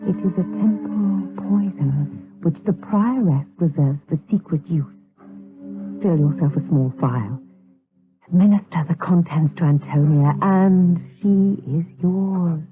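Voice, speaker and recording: An actress playing a cool, scheming temptress in a gothic radio drama, measured, insinuating and commanding. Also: female voice, fairly low pitch, music in the background. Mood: instructing. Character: cool, scheming temptress coaxing a monk to evil. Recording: tinny, phone-like radio drama recording.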